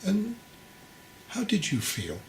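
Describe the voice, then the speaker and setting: A man voicing a venerable old monastery master in a storybook, calm, measured and gently probing. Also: high-pitched, echoey room, slight background noise, voice pushed up, full character voice. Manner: measured, questioning, teasingly patient. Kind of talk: storybook character dialogue.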